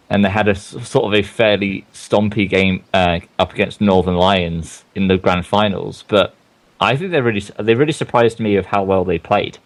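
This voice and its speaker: Young man, hoarse voice, lowish pitch, rambling esports caster. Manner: rambling, many fillers and restarts. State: losing his voice. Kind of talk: casual game commentary.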